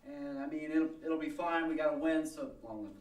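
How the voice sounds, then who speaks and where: An actor playing a reluctant, bumbling car dealer turned battle champion, halting and unsure, in a radio comedy. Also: male voice, on the high side, wildly swooping pitch, vocal fry, full character voice. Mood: nervous, halting. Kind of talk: old-time radio comedy acting. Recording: roomy distant live stage, faint music.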